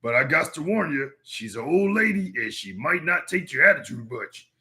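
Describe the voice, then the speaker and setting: A man doing a creaky, folksy little bug. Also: a little high, sing-song, normal speed, bright, forceful tone, pitched above the performer's own voice, heavily acted. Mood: folksy, mild. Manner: folksy, hesitant, polite. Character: small, folksy, easygoing male bug, helpful and mild. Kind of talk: comic book read aloud in character voices.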